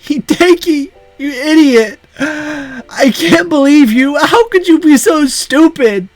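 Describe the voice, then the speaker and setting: Man voicing a high, anguished young woman. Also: slow pace, no room sound, faint music behind. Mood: distressed scolding. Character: tearful young woman, anguished, pleading with dying lover.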